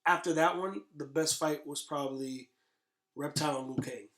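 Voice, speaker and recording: A man's voice: a little high, moderate pace, fairly bright tone. A man in a casual podcast chat, slangy and agreeable, restating his points as he goes. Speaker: podcast guest. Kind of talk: casual podcast chat about movies and games.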